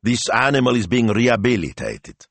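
A man's voice: medium pitch, very animated, moderate pace, a bit bright, dry recording, pitched above the performer's own voice. A man voicing a cheerful male hotel owner who talks in an eager, reassuring way.

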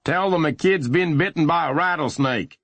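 A man voicing a big, folksy policeman in a storybook, steady and reassuring in his manner. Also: lowish voice, normal speed, no room sound. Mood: urgent.

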